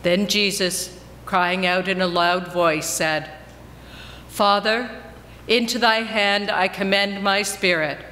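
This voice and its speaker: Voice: medium pitch, steady tone, slow pace, bright, forceful tone. A person giving a solemn dramatic reading of scripture as a reader at a church service. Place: large church interior before a congregation. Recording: long reverberant church hall, background noise.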